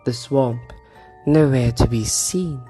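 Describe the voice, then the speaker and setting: A man's voice: mid-range voice, warm tone. A man narrating a horror adventure game dramatically, drawing out his phrases for suspense. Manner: slow, suspenseful, drawn-out phrases. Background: background music.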